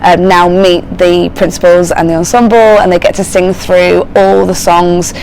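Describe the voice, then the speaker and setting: Low-pitched, creaky woman. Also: level tone, normal speed, darkish tone, slight background noise, low-quality recording. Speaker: choreographer and casting director. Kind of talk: interview answers, explaining.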